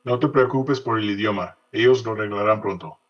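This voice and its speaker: A man's voice: fairly low pitch, quick pace, a little hiss or hum, close to an everyday voice. A man playing a matter-of-fact bilingual interpreter in an audio story, on a dull recording. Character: brisk male interpreter relaying between Spanish and English. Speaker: voice actor reading a fiction podcast story. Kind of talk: dramatized short story reading. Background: music under the voice.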